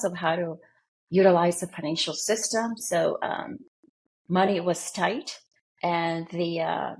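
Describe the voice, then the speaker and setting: A woman guest from the Dominican Republic with a husky, breathy, lowish voice. She talks about her life in a measured, reflective way, choosing her words with care. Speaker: guest, financial planner. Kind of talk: interview storytelling.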